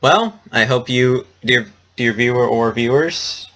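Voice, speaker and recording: A man's voice: medium pitch, a little slow, fairly bright tone. Self-deprecating man doing casual game commentary. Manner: self-deprecating, awkward, rambling. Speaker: let's player. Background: game music.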